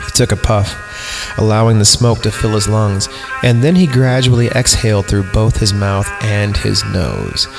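A man's voice: lowish voice, expressive, a little slow, vocal fry, poor audio. A man hosting a podcast, reading a horror story aloud for comedy and dropping in irreverent, casual asides. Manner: irreverent, mock-disgusted, often breaks off to comment. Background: background music.

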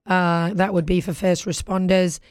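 A British woman hosting a talk show in casual, playful chat, with a husky voice recovering from being lost. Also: fairly low pitch, flat delivery, normal speed, dry recording, clean studio sound. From Britain. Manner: playful, bantering.

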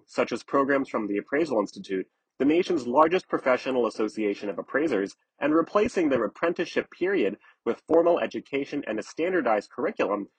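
A man narrating a scripted news article in a measured, explanatory way. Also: mid-range voice, fairly bright tone, breathy edge, no room sound, poor audio.

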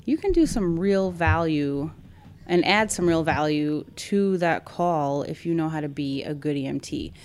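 A woman's voice: low-pitched, animated, a little slow, a little mellow, slight background noise. A woman from Brooklyn, an EMS worker talking casually and hesitantly, with many fillers and restarts. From Brooklyn, New York. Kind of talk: casual podcast conversation.

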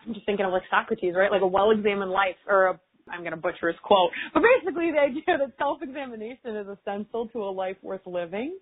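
A woman's voice: medium pitch, fast, breathy edge. Woman on a tinny phone line. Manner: chatty, frequent "like" fillers. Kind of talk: casual reflective chat.

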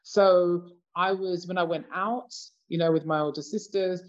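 A woman's voice: lowish voice, fairly even intonation, a little slow, muffled recording. A British woman in her fifties talking reflectively in a casual conversation, with many "you know" asides. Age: 50s. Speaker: discussion participant.

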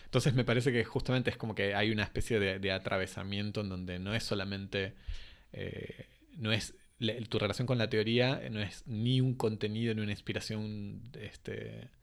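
A man asks long theoretical questions in academic language. He stumbles, repeats words and restarts sentences as he talks. His voice sits fairly low. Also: fairly even intonation, vocal fry. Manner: halting, many restarts, academic wording. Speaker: interviewer. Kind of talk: interview questions.

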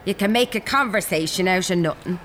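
An actress playing a breezy, teasing Irish acquaintance in a radio drama, colloquial in manner. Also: female voice, mid-range voice, fairly quick, a bit bright, slight background noise, lightly acted. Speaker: actor in an audio drama.

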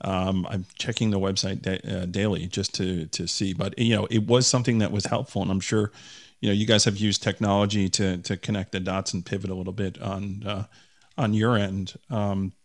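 A man's voice: fairly low pitch, level tone, brisk. A man hosting a casual interview over a video call, chatty with many fillers and restarts. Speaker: podcast host, investigator.

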